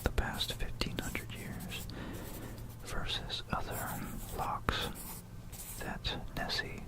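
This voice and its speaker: An ASMR host whispering a rambling, informal history narration in a breathy, unvoiced voice, halting and musing, close to a binaural microphone. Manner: halting, musing, repeats phrases.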